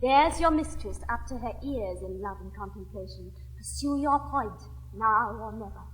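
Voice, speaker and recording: An actress playing a brisk, teasing, worldly married gentlewoman in a period comedy, with an English accent and an arch manner. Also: medium pitch, animated, big-room sound, natural voice, poor audio. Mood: teasing, urging. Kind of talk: classic stage comedy acting.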